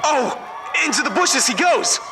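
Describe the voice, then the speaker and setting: Man acting as excitable event commentator, very high voice, exclamatory, hyped play-by-play, loud music underneath. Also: a little slow, crowd noise, heavily acted. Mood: amazed, excited. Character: excitable male live-event commentator, breathlessly amazed. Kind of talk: sports-style play-by-play commentary.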